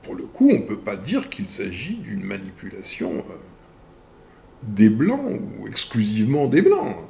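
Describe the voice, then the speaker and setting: A man with a rough, gravelly edge to his voice reads viewer letters aloud on his channel and answers them. His pitch rises and falls a lot. He talks slowly and carefully, in short clipped phrases broken up by pauses. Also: huge pitch swings, warm tone, slight background noise. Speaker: video channel host.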